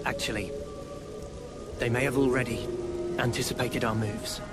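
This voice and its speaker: A man playing an earnest, resolute young squad leader in a video game, measured and explanatory. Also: medium pitch, steady tone, loud music behind the voice, natural voice. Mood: grave, resolute. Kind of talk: video game cutscene acting.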